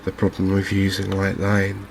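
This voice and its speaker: A soft-spoken man giving unhurried ASMR commentary on a video game. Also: low voice, monotone, creaky, noisy background. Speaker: gaming video host.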